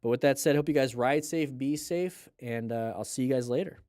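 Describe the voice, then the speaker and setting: A man's voice: mid-range voice, brisk, a little mellow, dry recording, clean studio sound. Congested man chatting on a livestream. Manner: chatty, loose asides, plugs a sale. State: congested, nasal. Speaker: livestream host reviewing motorcycle clips. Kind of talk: casual livestream commentary.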